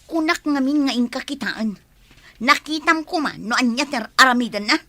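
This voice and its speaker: A woman's voice: high voice, lively intonation, normal speed, a bit bright. A woman playing a regretful, resigned crook in a radio drama, with an Ilocano accent. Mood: worried.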